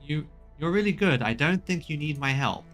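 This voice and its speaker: A man's voice: on the high side, lively intonation, moderate pace, a bit bright, faint music behind. A man doing casual video game commentary as a streamer, chatting and reading lines aloud.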